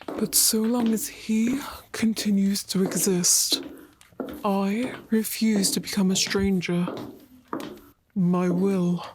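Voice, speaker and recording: A woman acting as a solemn, devoted female servant in an audio drama, brooding over secrets. She speaks slowly and deliberately, with clear noise and clicks around her. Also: medium pitch, fairly even intonation, lightly acted. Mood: brooding, resolute. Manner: slow, measured, brooding. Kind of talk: solemn scripted monologue.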